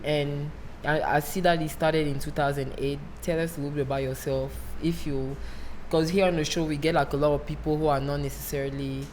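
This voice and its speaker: A woman's voice: sits low, little pitch movement, a little fast, darkish tone, a little hiss or hum, rough recording. A woman hosting a casual interview, asking long, rambling questions full of "like" and "you know". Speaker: show host.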